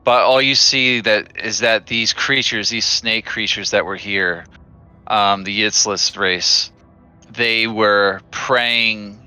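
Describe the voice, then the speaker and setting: A man running a tabletop game as game master, chatty and relaxed, with many fillers and restarts. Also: fairly low pitch, clean tone.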